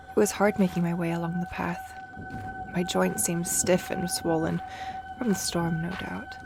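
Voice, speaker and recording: Grieving old soldier in a fantasy audio drama, solemn, kinda low voice, music behind. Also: female voice. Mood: wary, awed. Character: weary, grieving old soldier wandering a cursed city. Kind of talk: first-person dark fantasy audio drama monologue.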